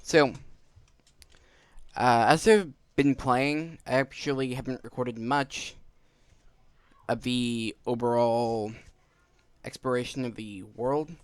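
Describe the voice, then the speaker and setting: A man's voice: medium pitch, slow, long pauses, clean tone, no echo. A man giving casual let's-play commentary as he hosts a gaming video. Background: faint game music.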